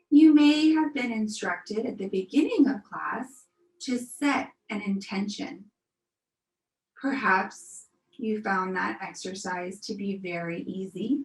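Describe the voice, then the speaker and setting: A woman teaching yoga, leading a guided meditation in a soothing, unhurried, gently instructive manner. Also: medium pitch. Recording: roomy echo, music behind. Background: music.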